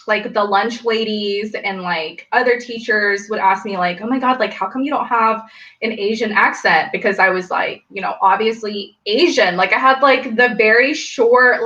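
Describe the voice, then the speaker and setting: A woman's voice: mid-range voice, pushed and bright, lo-fi sound. A woman whose first language is Vietnamese, chatting as a panelist and telling stories full of "like" fillers over a video call. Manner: chatty storytelling, many "like" fillers. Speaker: panelist, engineer. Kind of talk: casual panel chat.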